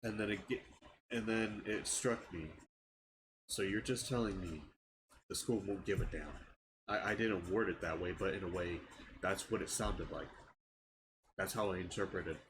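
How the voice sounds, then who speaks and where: A young man with a stuffy nose, talking in a casual vlog about his frustrations, halting and restarting his words. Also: sits low, noisy background. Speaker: channel owner giving an update. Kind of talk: casual personal vlog rant.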